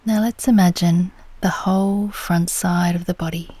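A woman leading a guided meditation in a gentle, soothing, unhurried manner, her soft voice turning breathy at the ends of phrases. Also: fairly low pitch, fairly even intonation, no echo.

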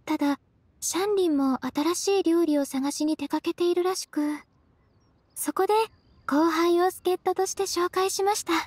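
Very high, gentle, polite young woman. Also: normal speed, no room sound. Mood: reassuring, polite. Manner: soft-spoken, formal, courteous. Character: gentle, polite, earnest young female secretary. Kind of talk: Japanese game voice acting. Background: music.

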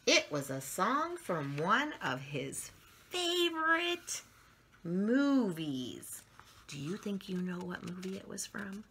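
Animated middle-aged woman reading to children. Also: on the low side, slight background noise. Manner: expressive, drawn-out emphasis for young listeners. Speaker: children's story channel host reading picture books aloud. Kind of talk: read-aloud storytelling for children. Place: small furnished room at home, indoors.

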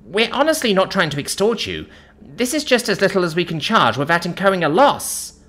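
Casting: meek little alien shop woman, played by a man, high voice, flustered, apologetic pleading, sci-fi audiobook. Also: huge pitch swings, fairly quick, a bit bright, full character voice. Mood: panicked, pleading. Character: timid grovelling alien shopkeeper woman, small, apologetic.

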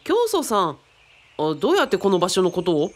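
A woman's voice: fairly low pitch, big pitch swings, brisk. A woman playing a frank, curious, wry apprentice in a radio drama, speaking casually and bluntly. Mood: baffled, wry.

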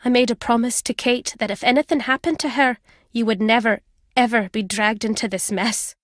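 A wary middle-aged woman from a video game, played by an actress. Her voice sits slightly high and is recorded dry, with a wry, blunt manner of an old family friend. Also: fairly even intonation, moderate pace, dry recording, natural voice. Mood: grim warning. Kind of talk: scripted video game voice acting.

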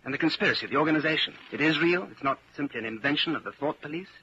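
An actor playing an earnest, fatalistic rebel against a totalitarian party, speaking gravely and deliberately in 1940s radio drama style. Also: male voice, fairly high pitch, animated, pushed and bright. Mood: earnest, urgent. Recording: muffled, hissy 1940s radio broadcast.

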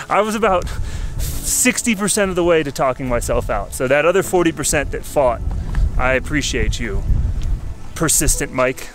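A man's voice: a little high, expressive, normal speed, a bit bright, faint music behind. A man in his thirties chatting casually as a travel vlogger, outdoors on a mountain, talking into a handheld camera. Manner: upbeat, easygoing, laughs often. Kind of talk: casual travel vlog chat. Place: outdoors on a volcano summit. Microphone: handheld camera at arm's length.

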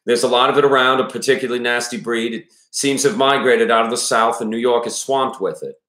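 A man's voice: medium pitch, fairly even intonation, fast talker, pushed and bright, natural voice. A man voicing a worried, plainspoken older father from a small town, with a Maine accent, in an audiobook-style reading. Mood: worried, confiding. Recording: roomy echo around the voice.

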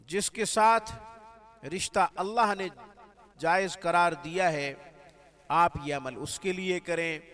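A man gives religious instruction in a slow, measured, devout way, using Arabic and religious phrasing. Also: a little high, a bit bright. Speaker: spiritual healer teaching prayers.

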